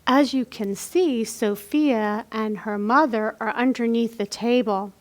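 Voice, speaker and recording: A woman hosting a children's storytime, talking in simple step-by-step language to young children as she explains things. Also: high-pitched. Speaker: library storytime host. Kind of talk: children's storytime reading and craft instruction.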